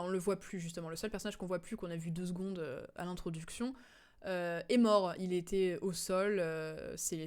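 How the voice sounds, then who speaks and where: A woman's voice: lowish voice, a little mellow, clean tone. A woman streamer giving casual game commentary, explaining the story in a hesitant, digressive way.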